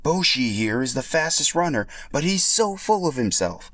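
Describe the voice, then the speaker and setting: Man doing a plaintive dinosaur villager, raised pitch. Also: big pitch swings, normal speed, fairly bright tone, dry recording, studio quality. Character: glum island dinosaur villagers repeating one complaint.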